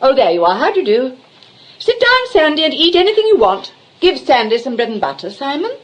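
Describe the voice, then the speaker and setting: A woman's voice: high voice, wildly swooping pitch, fairly quick, muffled recording. An actress playing a vague, grand middle-aged English mother in a radio comedy. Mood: airy, imperious. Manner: airy, scatterbrained, commanding. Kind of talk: radio play comedy acting.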